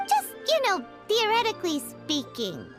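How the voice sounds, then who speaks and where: Tiny squeaky chirpy companion. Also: female voice, wildly swooping pitch, normal speed, heavily acted. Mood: nervous, awkward. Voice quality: squeaky, childlike. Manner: chirpy, exclamatory. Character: tiny chirpy childlike floating companion, eager and blurting. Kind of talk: video game voice acting. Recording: loud background music. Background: game music.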